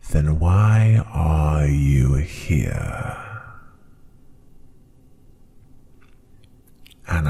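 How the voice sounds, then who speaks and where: A man voicing a proud, cruel dragon ruler in a fantasy ASMR roleplay, with a deep growl that often sinks to a breathy whisper, speaking in a deliberate, haughty, menacing way. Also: dark tone, heavily acted. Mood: haughty, probing.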